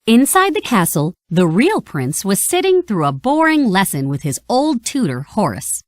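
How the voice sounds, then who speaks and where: A woman reading a children's read-along story in an expressive, scripted storytelling style. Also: medium pitch, moderate pace, no echo, clean studio sound. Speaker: storybook narrator.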